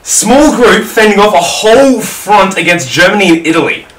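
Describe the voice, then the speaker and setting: A man's voice: high-pitched, animated, normal speed, a bit bright. Rambling Australian man, roomy distant mic. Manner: rambling, sweary, repeats himself. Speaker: storyteller on a gaming video. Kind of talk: casual history storytelling over gameplay.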